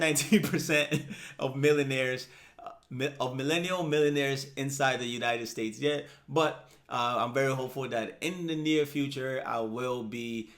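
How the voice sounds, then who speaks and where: A man in his thirties hosting a personal finance channel, talking straight to the viewer in a casual, upbeat way and checking in with "right?" and "okay?". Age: 30s. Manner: animated, rises in pitch and loudness for emphasis. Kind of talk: casual explainer talking to camera.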